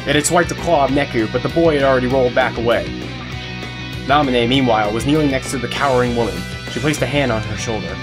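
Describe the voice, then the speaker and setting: A man's voice: medium pitch, fast talker. Male story narrator over guitar music.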